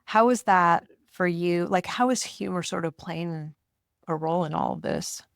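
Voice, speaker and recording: A woman's voice: on the low side, normal speed, creaky, no room sound, studio quality. A woman hosting a conversational interview, speaking in an effusive, affirming way. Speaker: podcast host interviewing a guest.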